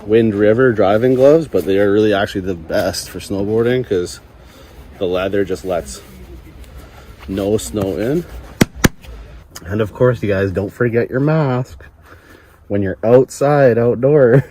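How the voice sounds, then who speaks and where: A man's voice: unhurried, pauses often, dark tone. A man chatting casually outdoors for a vlog, rambling in a laid-back, slangy way. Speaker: vlogger. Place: outdoors at a ski area. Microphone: handheld or worn action camera. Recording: outdoor wind and rumbling noise.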